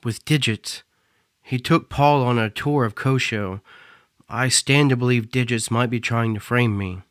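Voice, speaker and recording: A man's voice: mid-range voice, unhurried, fairly bright tone, smooth, no echo, clean studio sound, close to an everyday voice. An actor playing an anxious young male cyborg performer who is defensive and bitter, in an audio drama. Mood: worried.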